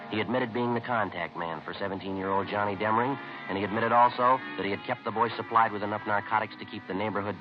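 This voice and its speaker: An actor playing a terse police detective, narrating and questioning in a deadpan 1950s radio drama style. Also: male voice, lowish voice, natural voice. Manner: deadpan, clipped. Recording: muffled vintage radio recording.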